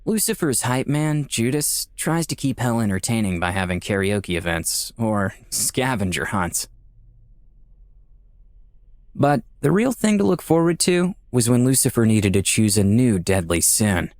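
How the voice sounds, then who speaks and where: A man's voice: medium pitch, sing-song, a little slow, a little mellow. A man playing a skeptical, dryly sardonic dead man in Hell, narrating a visual novel in a wry, conversational way. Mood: explaining.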